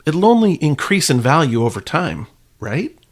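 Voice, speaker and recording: A man's voice: on the high side, big pitch swings, moderate pace. A man hosting a podcast with casual, partly scripted commentary in a playful, self-deprecating manner. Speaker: podcast host.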